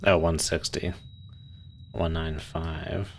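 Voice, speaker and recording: A man's voice: extremely low pitch, fairly even intonation, takes it slowly, vocal fry, a little hiss or hum. A young man from Maryland casually chatting with viewers while playing a game, swearing lightly and laughing often. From Maryland, USA. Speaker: streamer.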